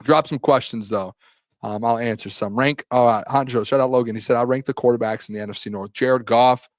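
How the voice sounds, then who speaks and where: Hoarse man hosting a sports talk show. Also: mid-range voice, steady tone, fairly quick, no room sound, studio quality. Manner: fired-up, defensive banter. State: losing his voice.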